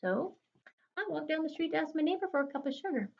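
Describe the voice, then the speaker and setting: A woman's voice: sits high, animated, fast talker, creaky, a little mellow, lo-fi sound, a little hiss or hum, pitched above the performer's own voice. Woman reading as an aggrieved, chatty storybook wolf. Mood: self-justifying. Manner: chatty, indignant, mock-innocent. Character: male wolf, aggrieved, folksy, self-excusing storyteller. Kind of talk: expressive children's picture-book read-aloud.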